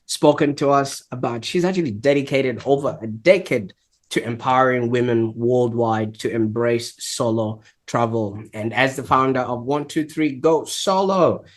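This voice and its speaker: A man hosts an interview show in a steady, measured way. He encourages his guest and sums up her points for the audience. His voice sits at an ordinary middle pitch for a man. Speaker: podcast host. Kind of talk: interview hosting.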